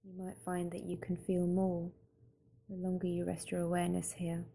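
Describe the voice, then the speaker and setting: A woman guiding a mindfulness meditation in a calm, gentle, unhurried manner with long pauses between phrases. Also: lowish voice, gravelly, slight background noise. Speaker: meditation guide. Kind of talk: slow guided mindfulness meditation narration.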